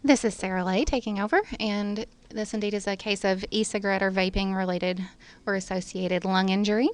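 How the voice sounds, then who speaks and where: A woman's voice: medium pitch, steady tone, brisk, clear, resonant tone. A woman physician giving medical lecture commentary in formal, technical phrasing.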